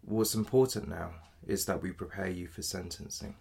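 Man playing audio drama solicitor, very deep, husky, measured, formally polite, bluntly apologetic. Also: fairly even intonation, a little mellow, full character voice. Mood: blunt, resigned. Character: apologetic but blunt male defence solicitor in dystopian future. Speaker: actor.

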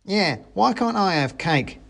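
Man doing a high-pitched, belligerent soldier mouse. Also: lively intonation, a little slow, vocal fry, a bit bright, heavily acted. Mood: indignant. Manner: blunt, colloquial, barking orders. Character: belligerent dog-sized soldier mouse in saucepan helmet.

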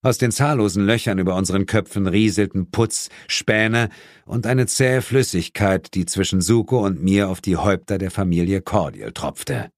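A man's voice: sits low, normal speed, no echo. A man narrating a horror-comedy audiobook in a dramatic scripted style, recorded in a studio. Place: dry studio.

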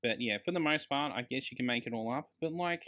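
Aussie guy on a casual film podcast, regular pitch, swears a lot, really emphatic and slangy. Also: fast talker, sharp tone. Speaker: podcast co-host. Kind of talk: casual film review chat.

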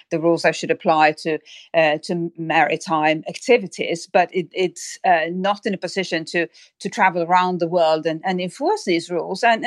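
Low-pitched woman giving expert analysis. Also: no room sound. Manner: hesitant, repeats words while thinking aloud. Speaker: expert guest on maritime affairs. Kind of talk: analytical interview commentary.

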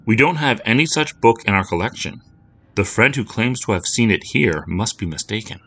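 A man's voice: lowish voice, expressive, moderate pace, pushed and bright, pitched above the performer's own voice, full character voice. A man voicing a polite, apologetic woman librarian.

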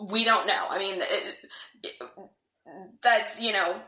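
A woman's voice: medium pitch, steady tone, slow, sharp tone. A woman guest in a casual podcast chat, musing aloud with lots of "I mean" and asides. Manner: thoughtful, rambling, hedges with "I mean". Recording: echoey room.

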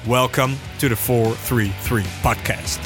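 Relaxed male podcast host. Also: medium pitch, measured pace, music in the background. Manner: relaxed, friendly, uses "man". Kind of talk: scripted narration and casual interview.

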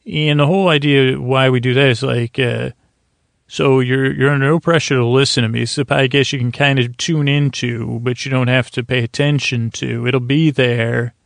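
A man hosting a podcast, rambling in a halting, meandering, self-deprecating way with a gravelly voice, as a bedtime monologue. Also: medium pitch, steady tone, no echo. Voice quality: gravelly, creaky. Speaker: podcast host.

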